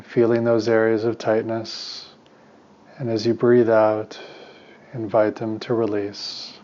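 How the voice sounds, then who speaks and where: A man with a low voice guides a meditation in a calm, soothing manner. His delivery stays very flat and unhurried, leaving long pauses between short instructions. The recording sounds slightly dull and muffled. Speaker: meditation teacher and therapist.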